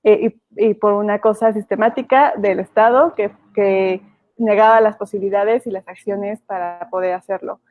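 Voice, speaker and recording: A woman's mid-pitched voice with a Mexican accent comes over a thin, phone-like connection. She hosts an online discussion, conversational and a bit hesitant. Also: steady tone, normal speed. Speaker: panel host. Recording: thin phone-like video call audio.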